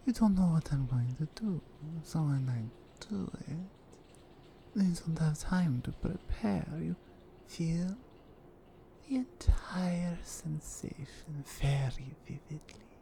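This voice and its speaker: A menacing, seductive Russian mafia woman, acted by a woman for an ASMR roleplay. She talks very slowly in a mellow, breathy murmur with a Russian accent, teasing and in command. There is faint noise behind her. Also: sits low, expressive, exaggerated voice. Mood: smug, seductive, then whispering, seductive. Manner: slow, deliberate, dominant and teasing.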